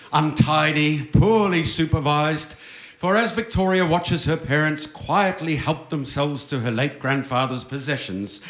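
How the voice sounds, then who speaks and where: A man performing as a wry, grandly theatrical narrator of an old-time radio play, with dramatic pauses, in an echoey live stage recording. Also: a little high, a bit bright. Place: stage before a live audience.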